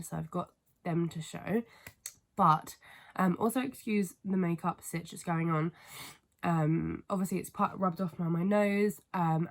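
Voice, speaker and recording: A woman in her twenties with a cold, her voice stuffy and sniffly, chatting casually and rambling to camera about books, with lots of fillers and apologies. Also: lowish voice. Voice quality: stuffy, congested. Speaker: book vlogger. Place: family home bedroom, indoors.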